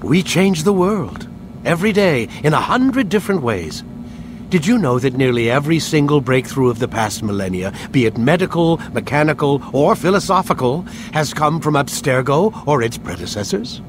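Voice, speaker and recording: A man's voice: on the high side, lively intonation, moderate pace, close to an everyday voice. A man playing a smug, condescending older scientist in a video game, lecturing articulately. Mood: proud, lecturing. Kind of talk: video game cutscene acting. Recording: noisy game scene with ambient hum.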